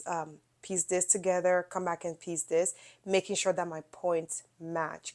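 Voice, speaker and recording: A woman's voice: fairly low pitch, a little mellow. A middle-aged woman hosting a casual quilting tutorial, a bit nasal from a cold, talking viewers through each step in a chatty way. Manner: chatty, explains by showing, talks straight to viewers. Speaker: quilting video host.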